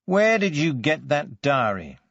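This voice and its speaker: A man voicing a stern, forceful police detective in an audiobook, with a deliberate, biting, hectoring way of talking. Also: on the high side, big pitch swings, gravelly, dry recording, highs cut off, full character voice. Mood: weary, patient.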